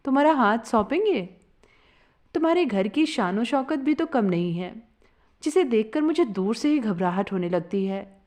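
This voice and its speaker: A woman's voice: on the high side, expressive, normal speed, darkish tone, close to an everyday voice. A woman voicing an anxious but determined young man in love in a dramatic story, earnest and emphatic.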